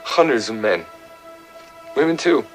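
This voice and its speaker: An actor playing an embittered American fiance in his twenties, halting and defensive under police questioning in an audio drama. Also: mid-range voice, very animated, faint music behind. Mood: bitter, anguished.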